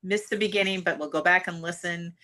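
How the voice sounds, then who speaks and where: Female host, casual live online Q and A, bright forceful tone, slightly low pitch. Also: steady tone, a little fast. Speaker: host of a theatre Q and A. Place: remote video call. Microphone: video call.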